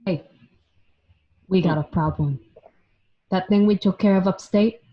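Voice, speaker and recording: A woman's voice: fairly low pitch, unhurried. A woman playing a blunt, hard-nosed male gangster in an amateur radio crime drama. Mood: blunt, urgent. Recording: dull lo-fi home connection.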